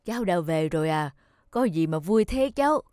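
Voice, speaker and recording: A caring old grandfather, acted out by a woman, gentle and earnest in a children's cartoon. Faint children's music plays behind. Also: fairly low pitch, lively intonation, normal speed, clean tone, dry recording, studio quality, lightly acted. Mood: tender.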